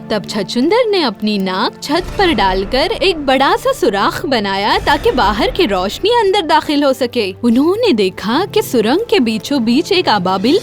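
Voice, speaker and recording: A woman narrating a scripted fairy tale for children as the storyteller. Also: high-pitched.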